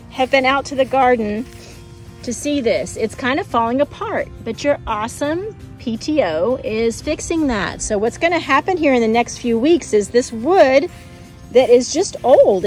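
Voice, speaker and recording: Brief: a woman with a slightly high voice, friendly garden educator explaining plants to kids, outdoors on a phone, faint music behind. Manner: friendly explaining, pointing things out. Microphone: phone camera held by the speaker.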